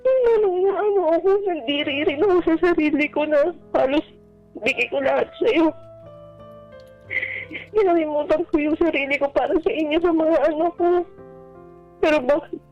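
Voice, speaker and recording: Woman caller w/ really high voice, kinda halting n earnest, dull lo-fi line, music behind. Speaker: caller to a radio advice show. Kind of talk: personal storytelling in a radio call. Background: background music.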